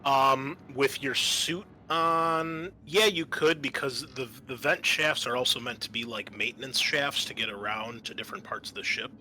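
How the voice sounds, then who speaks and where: Sharp, bright-voiced man running a game. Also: mid-range voice, moderate pace, hardly any pauses, slight background noise, low-quality recording. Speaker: game master. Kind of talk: improvised tabletop game narration.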